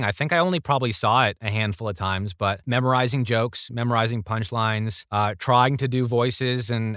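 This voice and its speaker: A man's voice: mid-range voice, bright, forceful tone, smooth, dry recording, clean studio sound. A man from Toronto with a Canadian accent, chatting casually and telling anecdotes as an interview guest. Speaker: podcast guest, writer and voice actor.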